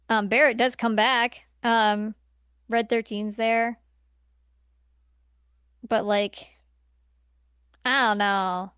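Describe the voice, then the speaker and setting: Female podcast co-host, smooth clear voice, dry close sound, blunt and exasperated casual opinions. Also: a little high, a bit bright, no room sound. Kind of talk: casual opinionated film discussion.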